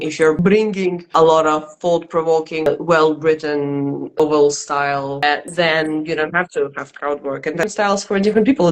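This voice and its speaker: A woman's voice: low-pitched, low-quality recording. A young woman comedian with a Russian accent, chatting casually as an interview guest with dry, irreverent humor. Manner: dry, irreverent, joking asides.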